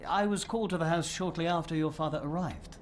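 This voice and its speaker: An actor playing a courteous, discreet family lawyer in a video game, speaking formally and precisely. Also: male voice, a little high, fast talker, slight background noise, lightly acted. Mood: formal, measured.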